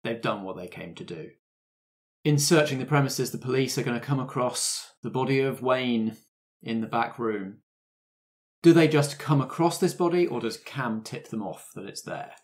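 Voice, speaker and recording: A game master with a medium-pitched voice runs a tabletop roleplay. The delivery moves between scene narration and casual talk about rules. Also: normal speed.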